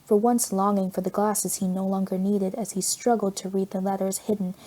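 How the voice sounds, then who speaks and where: A woman reading scripted fiction as an audiobook narrator, in an expressive storytelling manner. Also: medium pitch, a little fast.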